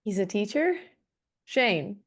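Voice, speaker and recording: A woman's voice: medium pitch, very animated, takes it slowly, husky, heavily acted. A woman playing a guarded, clinical middle-aged pediatrician in a script table read. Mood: skeptical, clinical.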